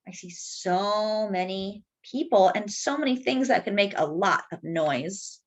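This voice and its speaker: A woman storyteller reading a picture book aloud expressively, stretching key words and chatting to young listeners. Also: medium pitch. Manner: animated, drawn-out emphasis. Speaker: children's storyteller.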